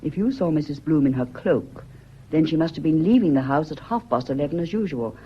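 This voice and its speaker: A woman's voice: deep voice, expressive, brisk, breathy edge. A woman acting in a radio drama as an anxious, suspicious lover who questions her man earnestly and insistently. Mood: insistent questioning. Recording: dull audio with faint background noise.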